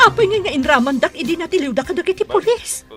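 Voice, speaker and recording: A woman's voice: very high-pitched, expressive, quick pace, music in the background. An actress playing a frightened woman who pleads and regrets what she did. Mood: anxious.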